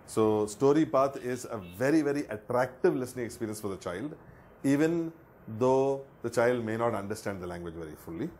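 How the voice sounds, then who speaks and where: A man's voice: mid-range voice, animated, fairly quick, a little mellow. A man explaining a learning method in an earnest way, repeating words for emphasis. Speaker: education presenter. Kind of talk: explanatory talk.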